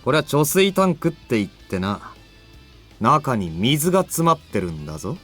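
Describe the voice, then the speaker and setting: An actor playing a cocky, fearless young fighter in a visual novel, taunting and self-assured. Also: male voice, medium pitch, very animated, fast talker, fairly bright tone, breathy edge, soft background music. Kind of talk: Japanese visual novel voice acting.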